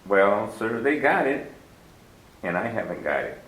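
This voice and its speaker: An actor playing a smooth, scheming older villain who talks in a genteel, unhurried way of menace, in a 1940s radio drama. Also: male voice, lowish voice, very animated, fairly bright tone, exaggerated voice. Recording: roomy old radio broadcast recording.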